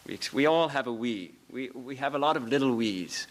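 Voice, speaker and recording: A man, a monk of a religious order, giving a lecture in a temple, telling stories with soft laughs and earnest, repeated phrases. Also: medium pitch, animated, fairly quick. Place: temple hall.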